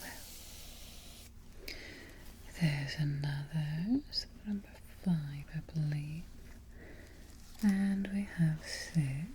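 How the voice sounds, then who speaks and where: A woman playing a slow, whispering evil queen. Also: low voice, a little hiss or hum. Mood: calm, musing. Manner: slow, hushed, deliberate, with musing pauses. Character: fussy, temperamental evil queen, calm and quietly menacing. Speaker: ASMR roleplay performer. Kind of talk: soft-spoken whispered ASMR roleplay.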